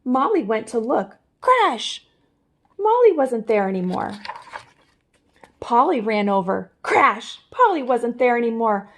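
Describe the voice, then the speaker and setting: Lively, high-pitched woman reading a children's story. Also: takes it slowly, slight background noise. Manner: expressive, theatrical emphasis. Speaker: storybook reader. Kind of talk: animated children's read-aloud.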